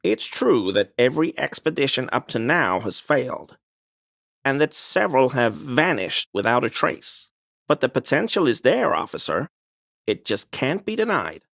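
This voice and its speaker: Game role: fussy, defensive male cryptid obsessive; pedantic and wordy, lively swooping intonation, close dry sound. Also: medium pitch, moderate pace, gravelly, no room sound, heavily acted. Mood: eager, scholarly. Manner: pedantic, wordy, evasive, prone to grumbling. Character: fussy defensive man, amateur cryptid enthusiast and conspiracy believer. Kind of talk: video game character dialogue.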